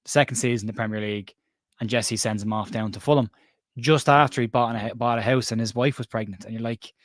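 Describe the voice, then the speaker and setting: A man's voice: mid-range voice, fairly even intonation, brisk, no echo, clean studio sound. An Irish man from Ireland hosting a casual football chat over a live stream, rambling and chatty, often interrupting himself. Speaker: podcast host. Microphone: remote stream call.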